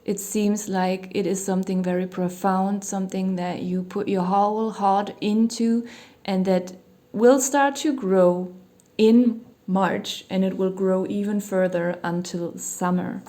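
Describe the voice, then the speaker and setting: A woman giving a relaxed tarot reading in a mellow, even tone, her voice a little hoarse from a cold. She wanders through her thoughts with plenty of fillers and soft hedges. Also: mid-range voice, unhurried. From Germany. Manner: meandering, hedging, many "you know" fillers. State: losing her voice, slightly hoarse. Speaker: tarot reader. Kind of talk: casual tarot reading monologue. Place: home near a hospital, sirens outside.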